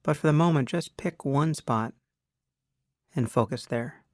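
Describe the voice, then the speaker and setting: A man leading a guided meditation as a teacher, speaking in an unhurried, measured way with long pauses. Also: fairly high pitch, darkish tone, no echo, studio quality.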